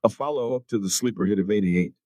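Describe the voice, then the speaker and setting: Guy reading out a game preview, kinda low voice, close and dry. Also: fast, darkish tone, no room sound.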